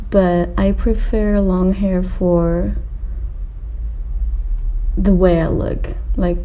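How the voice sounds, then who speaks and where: Young woman in her 20s just chatting to viewers, really mellow dark voice, kinda rambly and unsure. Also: lowish voice, low-quality recording. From Austria and Greece. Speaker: video creator answering viewer questions. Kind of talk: casual chat to camera.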